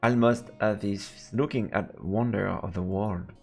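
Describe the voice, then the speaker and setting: Gravelly French-accented man streaming a game. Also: on the low side, unhurried, a little mellow, faint music behind. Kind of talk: live let's play, reading game text aloud and commenting.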